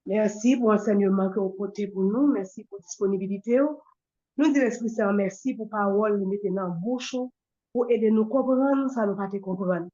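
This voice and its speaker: A woman's voice: medium pitch, fairly quick, mellow. A woman, a nun leading a prayer group in devotional style, heard over lo-fi online call audio. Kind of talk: devotional prayer and hymn singing. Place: online video prayer meeting.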